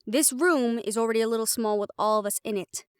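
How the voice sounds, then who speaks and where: Woman doing sassy bossy siren girl, fantasy roleplay audio, highish voice, sarcastic, really dry close sound. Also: fast, clean tone, no echo, natural voice. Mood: exasperated. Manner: sarcastic, emphatic. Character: sardonic young female siren, bossy, easily exasperated. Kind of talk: dramatic audio roleplay acting.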